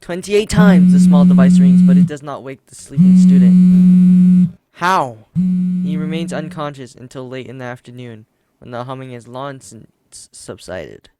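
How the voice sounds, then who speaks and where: A man doing a casual game playthrough, reading the story text aloud in a halting, stumbling way. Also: high voice, fairly even intonation, dark tone, low-quality recording. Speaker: gaming video host reading a visual novel aloud. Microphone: desk USB condenser microphone. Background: game music.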